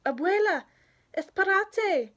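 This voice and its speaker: A woman's voice: very high-pitched, lively intonation, slow pace, fairly bright tone, pitched above the performer's own voice, heavily acted. A woman voicing an eager, bossy young girl who calls out urgently in a storybook. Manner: urgent, calling out.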